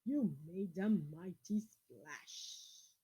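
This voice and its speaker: A boisterous young male dinosaur from a children's storybook crows and mocks his friends, played by a woman reader. He is a gloating prankster, speaking slowly and loudly with a husky edge. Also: on the low side, animated, pitched below the performer's own voice, exaggerated voice. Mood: gloating, mocking. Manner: mocking, crowing delivery. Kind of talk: children's picture-book character voice.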